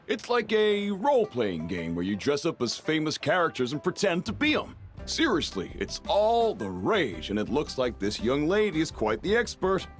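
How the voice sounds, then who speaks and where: A man voicing a jovial middle-aged father and chef in a video game, folksy, hearty and teasing. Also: mid-range voice.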